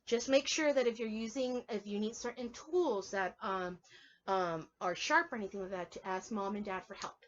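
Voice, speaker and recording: A woman's voice: mid-range voice, normal speed, highs cut off, slight background noise. A woman preschool teacher reading aloud and leading a drawing lesson for young children, playful and encouraging, often asking her listeners questions.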